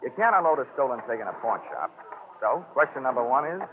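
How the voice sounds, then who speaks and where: An actor playing a wisecracking, cocky investigator with a dry, slangy American delivery in 1940s radio detective style. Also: male voice, medium pitch, sing-song, fast, lightly acted. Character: wisecracking, hard-boiled male investigator, cocky and sardonic. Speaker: lead actor and narrator of a detective serial. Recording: tinny, noisy old radio broadcast.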